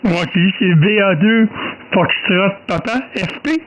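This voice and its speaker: A man's voice: high-pitched, unhurried, background noise. A man with a Québécois accent chatting casually as a ham radio operator, rambling with many fillers. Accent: Québécois French. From Quebec. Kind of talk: casual radio contact chat.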